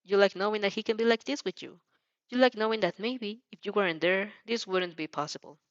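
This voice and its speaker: A woman reading a story aloud as an expressive amateur narrator, sometimes stumbling and rereading phrases. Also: mid-range voice, a little fast, dry recording, highs cut off. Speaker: fan fiction narrator.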